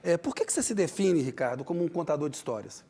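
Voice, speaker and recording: A man's voice: fairly high pitch, sing-song, quick pace, mellow, breathy edge. Brazilian man hosting a TV interview. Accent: Brazilian Portuguese. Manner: friendly, prompting questions. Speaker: TV interview host.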